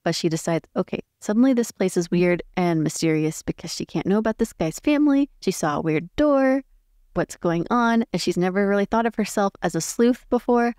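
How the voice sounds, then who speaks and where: A woman's voice: medium pitch, animated, a little fast, a little mellow, no echo, clean studio sound. A woman playing a tabletop roleplay game, casually narrating her character's choices and thinking aloud.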